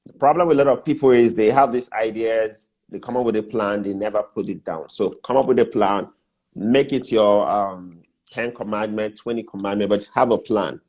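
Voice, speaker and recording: A man's voice: on the low side, normal speed, darkish tone. A middle-aged Nigerian man with a Nigerian accent, a guest mentor who talks through business steps in a casual interview, repeating his key points with emphasis.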